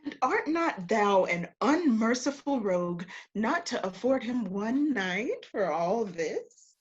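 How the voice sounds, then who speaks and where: A woman's voice: fairly high pitch, very animated, slow pace. A woman acting a coaxing, teasing seductress in a theatrical period comedy, with dull lo-fi sound.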